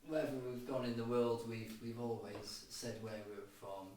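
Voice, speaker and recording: A middle-aged man from Cardiff, answering interview questions in a halting way, often repeating words and restarting. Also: medium pitch, fairly even intonation, warm tone. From Cardiff, Wales. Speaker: guitarist and producer. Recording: distant, very echoey room with faint noise.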